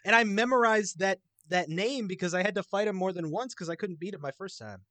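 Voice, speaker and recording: A man's voice: high-pitched, a little fast, pushed and bright, no echo. A man on a podcast panel, explaining his opinions about games in an informal, rambling way. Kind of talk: casual video game discussion.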